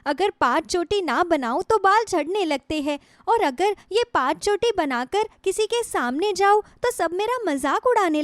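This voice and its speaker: A woman's voice: very high voice, dry recording, clean studio sound. A woman voicing a sad, anxious, earnest young woman in a moral story cartoon. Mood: dejected, plaintive.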